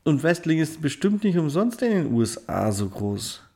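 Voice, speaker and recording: A man's voice: on the high side, very animated, normal speed, gravelly, dark tone, breathy edge. A German-speaking man co-hosting a casual gaming podcast, joking and teasing while telling anecdotes. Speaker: podcast co-host.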